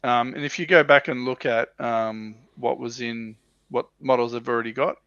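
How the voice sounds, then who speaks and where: A man's voice: medium pitch, steady tone, moderate pace, sharp tone, dry recording. A man on a livestream panel in casual hobby chat, hesitant and thinking aloud. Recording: dry, dull lo-fi microphone sound.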